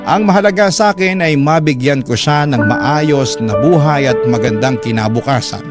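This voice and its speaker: A man's voice: mid-range voice, normal speed, lightly acted. A male actor playing a regretful, caring father, narrating his life story in a radio drama. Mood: solemn. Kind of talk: dramatic first-person narration. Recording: loud music under the voice.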